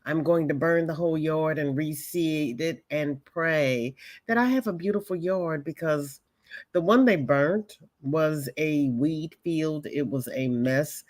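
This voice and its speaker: Slow-talking woman greeting her livestream chat. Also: low voice. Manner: slow, chatty, warmly greets viewers by name. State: voice may be weak, hoping it holds up. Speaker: crochet channel host greeting livestream chat. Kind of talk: casual livestream chat reading comments.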